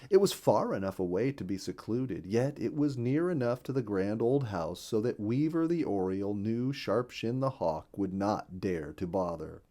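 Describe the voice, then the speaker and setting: A man narrating a children's storybook in a gentle, expressive reading voice, recorded on a studio microphone. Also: on the low side. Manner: gentle, expressive reading with a lot of rise and fall.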